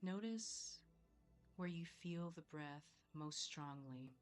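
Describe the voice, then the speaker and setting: Female meditation guide, low register, very unhurried and soothing, soft music underneath. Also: expressive. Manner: soothing, measured, with long pauses. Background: soft background music.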